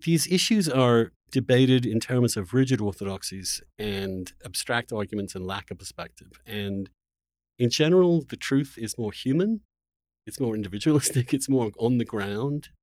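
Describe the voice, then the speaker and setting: Guy hosting a podcast chat, average pitch, stops and restarts then gets emphatic, really dry recording. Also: darkish tone, no room sound. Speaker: podcast host. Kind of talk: conversational interview, opinionated discussion.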